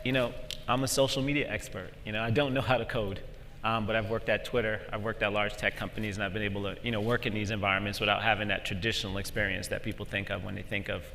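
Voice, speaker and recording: A man's voice: on the low side, bright, forceful tone. A man moderating a conference panel, recorded in a live event hall. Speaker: panel moderator, social media expert. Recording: echoey hall with background noise.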